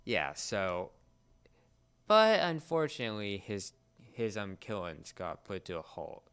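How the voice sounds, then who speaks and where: Young male co-host, lowish smooth voice, casual explainer with halting phrasing. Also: big pitch swings, a bit bright. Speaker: podcast co-host. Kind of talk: casual pop culture discussion.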